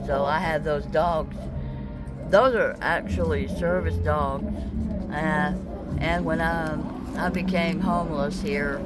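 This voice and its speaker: A woman's voice: low-pitched, poor audio. A woman in her seventies from Texas, telling her story in a casual street interview, rambling and plainspoken with many restarts. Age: 70s. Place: outdoors by a busy road. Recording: loud traffic noise outdoors.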